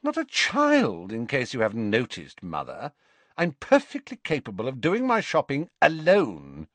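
A man's voice: mid-range voice, huge pitch swings, measured pace, fairly bright tone, no echo, pitched above the performer's own voice, heavily acted. Draco Malfoy, a man doing a sneering rich boy. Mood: peevish. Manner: sneering, drawling. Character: arrogant, sneering teenage boy.

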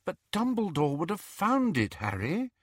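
A man's voice: high-pitched, huge pitch swings, unhurried, husky, no echo, clean studio sound, pitched above the performer's own voice, heavily acted. A man voicing a brainy, cautious teenage witch girl who speaks in a precise, reasoning manner, in an audiobook. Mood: reasoning, doubtful. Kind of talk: audiobook character dialogue.

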